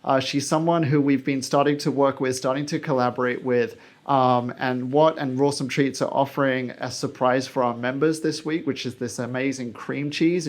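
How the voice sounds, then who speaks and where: A man hosting a podcast, interviewing a guest in a conversational, enthusiastic manner. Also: mid-range voice. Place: small basement room on an indoor farm.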